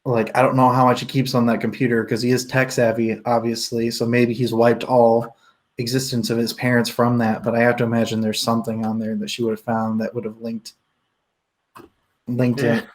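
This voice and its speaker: A man's voice: medium pitch, flat delivery, fairly quick. A man in a casual book discussion, talking in an informal, rambling way as a co-host. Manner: rambling, informal, laughs often while talking.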